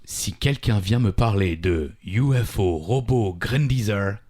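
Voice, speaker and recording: A man's voice: fairly low pitch, lively intonation, unhurried, mellow. Joking Frenchman in casual quiz banter. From Alsace, France. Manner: joking, teasing, informal. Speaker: podcast quiz co-host.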